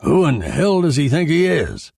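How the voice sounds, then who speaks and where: A man's voice: a little high, wildly swooping pitch, normal speed, gravelly, darkish tone, no room sound, clean studio sound, pitched above the performer's own voice, full character voice. A man playing a cynical, exasperated police detective who talks tough and slangy, in the style of a 1940s crime drama. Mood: brusque. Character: hard-boiled gruff police detective, big man, cynical.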